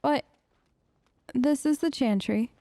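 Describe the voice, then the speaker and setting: A woman with a high voice hosts a role-play let's play in a close, dry recording. She chats casually and playfully, expressively addressing the characters as she goes. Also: takes it slowly, vocal fry, mellow, dry recording. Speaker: gaming video host. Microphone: close dry voice-over microphone.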